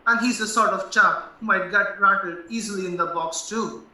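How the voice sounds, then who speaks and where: A man's voice: sits high, steady tone, normal speed, background noise, close to an everyday voice. A man playing a shrewd, blunt, worldly senior barrister in an audio play. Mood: blunt, musing. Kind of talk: stage-style radio play acting. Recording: very echoey room, distant mic.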